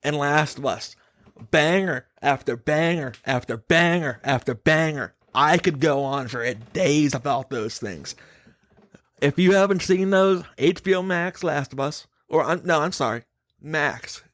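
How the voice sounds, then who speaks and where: A man's voice: a little high, a little slow, a bit bright. A man hosting a casual podcast, giving his opinions emphatically and swearing casually. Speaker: podcast host.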